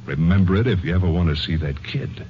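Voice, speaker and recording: An actor playing a menacing, sarcastic convict killer with tough gangster slang in a 1950s radio crime drama. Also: male voice, low voice, quick pace, close to an everyday voice. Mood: threatening, scornful. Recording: muffled, noisy vintage radio recording.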